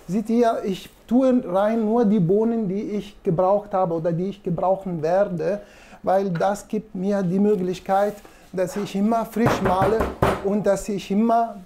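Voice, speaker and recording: Gravelly, mellow Italian-accented man. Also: sits high. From Italy. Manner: self-deprecating, halting, conversational. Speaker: presenter demonstrating espresso machines. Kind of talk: casual product demonstration. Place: small indoor coffee showroom. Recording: noticeable background room noise.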